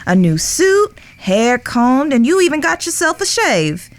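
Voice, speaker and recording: Western audio drama: genteel, flirtatious lady acted by a woman, higher voice, gracious formal phrasing. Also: very animated, unhurried.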